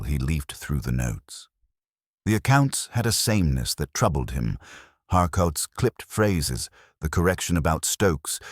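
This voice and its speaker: A man's voice: deep voice, no room sound, clean studio sound. A man narrating a scripted mystery story as an audiobook reader.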